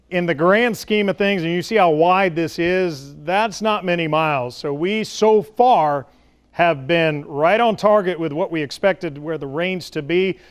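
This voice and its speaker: A man's voice: on the high side, smooth. A man giving a broadcast weather forecast as a TV meteorologist, explaining with folksy analogies.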